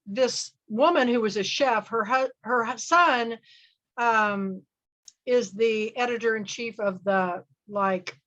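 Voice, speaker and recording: A woman hosting a film club chat, talking loudly and rambling, trailing off with fillers. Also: medium pitch, dull audio. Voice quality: loud voice. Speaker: book and film club host. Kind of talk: casual group chat.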